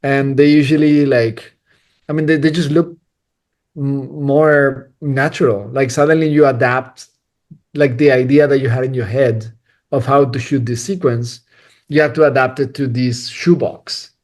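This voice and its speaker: A man's voice: medium pitch, fairly even intonation, a little slow, a little mellow. A man from Spain, a cinematographer, talking casually in a podcast interview, rambling with many restarts and frequent laughter. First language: Spanish.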